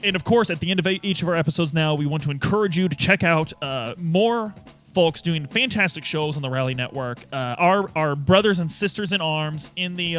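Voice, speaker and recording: A man hosting a roleplay podcast and playing along, chatting casually in a jokey, halting way. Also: on the high side, a bit bright. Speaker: podcast host and player in a tabletop roleplay.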